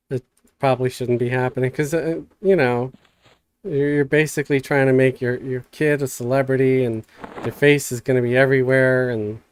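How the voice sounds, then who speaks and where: A man hosting a live stream, chatting casually in a soft, calm way. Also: mid-range voice, clean tone, no room sound. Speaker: streamer host. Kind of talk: casual chat.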